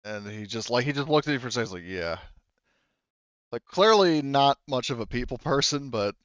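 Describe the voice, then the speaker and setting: A man narrating a tabletop roleplaying game as game master, casually and haltingly, rephrasing as he goes. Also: medium pitch, lively intonation, a bit bright, no echo, muffled recording.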